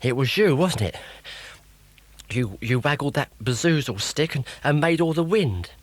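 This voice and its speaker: A man's voice: medium pitch, animated, moderate pace, no echo, pitched above the performer's own voice, close to an everyday voice. Man acting a plucky, exclamatory storybook hero. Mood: indignant.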